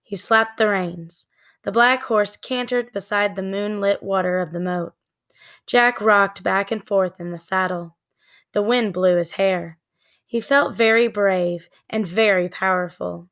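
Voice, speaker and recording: A woman narrating a children's book in a deliberate, expressive storytelling manner. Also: mid-range voice. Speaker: read-aloud storyteller.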